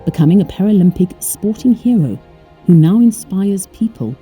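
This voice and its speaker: A narrator reading a scripted documentary introduction in an even delivery. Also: medium pitch, normal speed, faint music behind.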